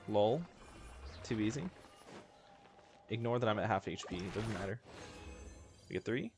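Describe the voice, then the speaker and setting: Low-voiced man chatting on a gaming stream. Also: moderate pace. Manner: slangy, joking, self-deprecating. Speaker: livestreamer playing a video game. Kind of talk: casual gaming livestream chat. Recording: game music behind the voice. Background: video game music.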